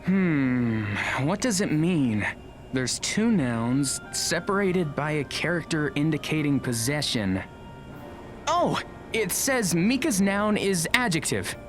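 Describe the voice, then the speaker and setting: A woman plays a shy, awkward teenage schoolboy in an audio drama. The voice is fairly low with animated intonation, polite but hesitant and stammering. Music is clearly heard behind it. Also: slow, exaggerated voice. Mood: curious.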